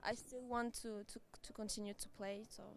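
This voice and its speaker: A woman in her twenties whose first language is Turkish, answering interview questions casually in a hesitant, soft-spoken way with frequent little laughs. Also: medium pitch, level tone, a little fast. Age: 20s. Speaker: tennis player, qualifier.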